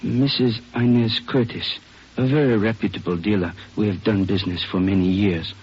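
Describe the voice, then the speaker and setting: A courteous, injured gentleman dealer in an old radio police drama. His voice is very raspy and fairly low, his wording formal and careful, with hesitations. The recording sounds muffled and dated. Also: fairly even intonation, moderate pace. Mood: polite, hesitant. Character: courteous injured Chinese male jade dealer, formal, pained. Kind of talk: 1950s radio drama acting.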